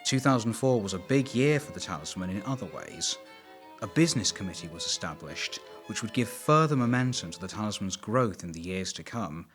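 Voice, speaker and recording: A man's voice: on the low side, lively intonation, a little fast, a bit bright, faint music behind. A man reading scripted documentary narration as the narrator of a history series.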